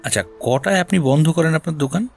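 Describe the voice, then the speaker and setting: An actor playing a shrewd, persistent senior detective in an audio drama, questioning in a deliberate, probing way. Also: male voice, fairly high pitch, gravelly. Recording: music bed behind voice.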